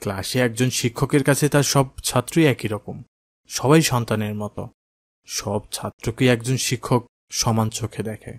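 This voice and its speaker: A dignified, firm schoolteacher in a moral cartoon, acted by a man. He speaks instructively at a medium pitch. The recording is dry, with no room sound. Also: steady tone, moderate pace, no room sound, close to an everyday voice. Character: firm, principled maths teacher in a skullcap. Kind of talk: cartoon dialogue acting.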